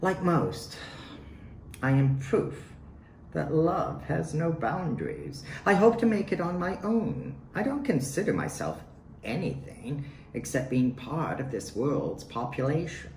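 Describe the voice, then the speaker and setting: A man reads his own poetry at a slow, deliberate pace, setting out short statements one by one. His pitch moves around freely from phrase to phrase. The room echoes around his voice, as in a home recording. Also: very animated, vocal fry, darkish tone. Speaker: poet reading own work. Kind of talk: spoken poetry reading. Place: at home in a residence. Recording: roomy home recording with faint noise.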